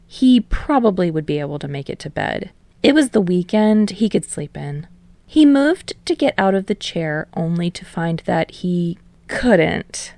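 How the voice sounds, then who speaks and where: Unhurried woman narrator with creaky edges. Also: lowish voice, big pitch swings, warm tone, dry recording. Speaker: audiobook narrator. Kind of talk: scripted fiction narration.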